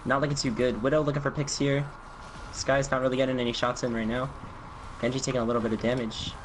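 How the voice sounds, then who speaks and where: A man's voice: medium pitch, flat delivery, fast. A young man casually commentating a team shooter match, filling his talk with "like" and loose restarts. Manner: loose, filler-heavy, lots of "like". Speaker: esports caster. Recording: noisy home mic.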